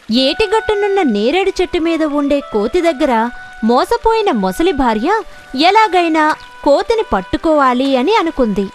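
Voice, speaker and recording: A woman with a high, very animated voice reads a children's story. She tells it expressively, with soft music underneath. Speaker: story narrator. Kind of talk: scripted children's story narration.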